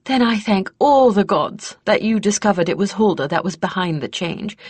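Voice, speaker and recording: A woman voicing an expressive, regal queen and mother in a fantasy audiobook, speaking emphatically. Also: medium pitch, breathy edge, no room sound, pitched above the performer's own voice.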